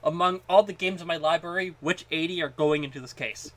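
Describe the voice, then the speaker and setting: A man co-hosting a podcast, chatting casually and haltingly, often repeating words as he goes. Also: on the high side, a bit bright. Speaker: podcast co-host. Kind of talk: casual chat about gaming.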